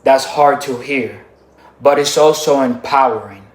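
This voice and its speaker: A man from the Dominican Republic giving a scripted motivational speech in short, punchy, emphatic sentences with deliberate pauses. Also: medium pitch, roomy sound, low-quality recording. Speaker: motivational speaker.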